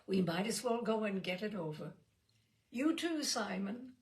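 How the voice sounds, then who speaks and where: A woman playing a brisk, firm woman who runs a household in a novel, dryly polite and decisive. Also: mid-range voice, lively intonation, close to an everyday voice. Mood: brisk, affectionate.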